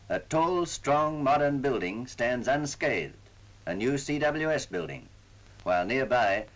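A man's voice: medium pitch, poor audio. A man narrating a wartime propaganda film in a solemn, formal, oratorical way, on a vintage 1940s soundtrack. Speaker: newsreel narrator.

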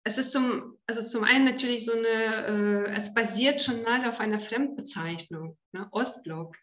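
A woman's voice: on the high side, a little slow, a bit bright, echoey room. A woman from Ukraine speaking as a guest on an online panel, hesitant with many fillers and restarts, heard over a video call.

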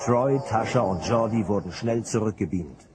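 A man's voice: on the low side, moderate pace, over loud music, natural voice. A man narrating a science fiction audio drama in a scripted, dramatic storytelling style.

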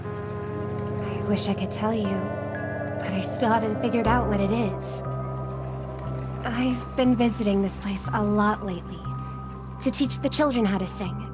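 A woman playing a gentle, polite young singer in a video game, speaking softly and with measured care. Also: medium pitch, over loud music, lightly acted. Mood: thoughtful, concerned. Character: gentle young female pop singer, caring, polite, thoughtful. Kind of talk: video game story acting.

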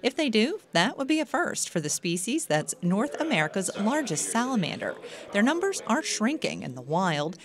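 Lively woman news reporter. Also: mid-range voice, moderate pace, a crowd in the background. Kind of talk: scripted news narration.

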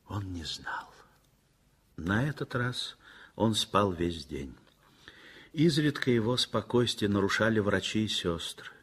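A man narrating a 1960s radio drama in a measured, lyrical way. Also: on the low side, husky.

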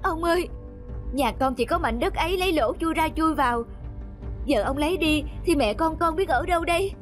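A woman's voice: high voice, lively intonation, normal speed, faint music behind. A woman playing a poor widowed mother in a cartoon folk tale, pleading meekly. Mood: desperate pleading. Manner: meek, pleading.

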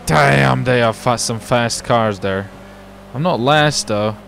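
A man talks in loose, slangy gamer chat. His voice is gravelly with plenty of fry, and faint vehicle noise sits behind him. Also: medium pitch, takes it slowly, fairly bright tone. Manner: slangy, says dude and baby often. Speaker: gaming video host, roleplay player. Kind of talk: casual gameplay roleplay chat. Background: car game sounds.

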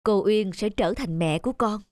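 A woman's voice: medium pitch, normal speed, a little mellow, no room sound, close to an everyday voice. Woman voicing an earnest, worried young father. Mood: affectionate. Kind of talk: animated moral tale acting.

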